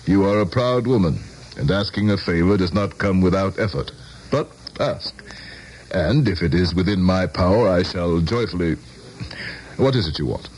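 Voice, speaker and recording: A man's voice: low voice, expressive, moderate pace, darkish tone, slightly rough, poor audio. An actor playing a courtly, self-assured middle-aged politician in a radio drama, courteous and rhetorical in his speech. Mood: magnanimous, assured. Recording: old radio drama broadcast, music behind.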